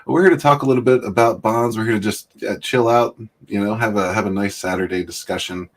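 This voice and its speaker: A man's voice: fairly low pitch, a little fast. A man hosting a casual livestream chat, greeting viewers by name and laughing often. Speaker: livestream host.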